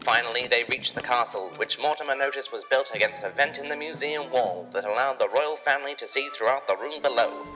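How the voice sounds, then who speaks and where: A man's voice: medium pitch, a little fast, hardly any pauses, over music, poor audio. A man narrating a children's adventure story in a dramatic, scripted storytelling style.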